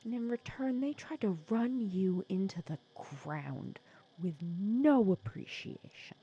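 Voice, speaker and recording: A woman playing a sly, secretly caring female supervillain in an intimate ASMR roleplay, casual and teasing, softly breathy. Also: mid-range voice, lively intonation, takes it slowly, muffled recording, heavily acted. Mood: teasing, coaxing. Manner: casual, teasing, persuasive, sardonic.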